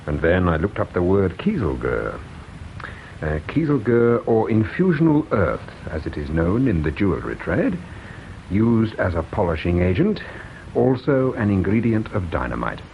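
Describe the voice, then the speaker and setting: An actor playing a methodical police detective in a radio drama, speaking in a dry, understated, deliberate way. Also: male voice, low voice, wildly swooping pitch, vocal fry, full character voice. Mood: dry, thoughtful. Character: measured, dry, methodical male police inspector. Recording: dull, faintly hissy radio drama.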